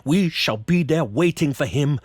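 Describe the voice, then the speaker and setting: An actor playing a grave, commanding older doctor and vampire hunter in a radio horror drama, with a slow, solemn delivery and a husky voice. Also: male voice, a little high, lively intonation, no echo, clean studio sound. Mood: solemn, urgent. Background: soft background music.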